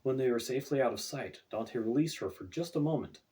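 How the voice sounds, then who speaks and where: A man's voice: mid-range voice, animated, fairly quick, warm tone. A man reading his own fantasy novel as scripted audiobook narration, recorded away from the microphone.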